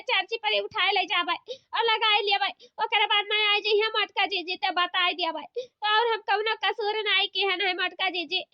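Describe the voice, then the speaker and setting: A woman's voice: extremely high pitch, dry recording, lo-fi sound. A woman voicing a pleading, apologetic village woman in an Awadhi comedy cartoon, whining and repeating her pleas in an Awadhi accent. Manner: repetitive begging.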